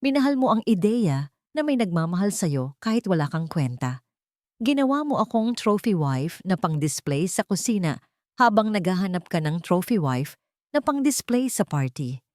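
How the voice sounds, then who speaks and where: A Filipino woman voicing a hurt, coldly resolute young wife in an audio romance, speaking in a calm, bitter, measured manner. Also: lowish voice, no room sound, clean studio sound. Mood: cold accusation.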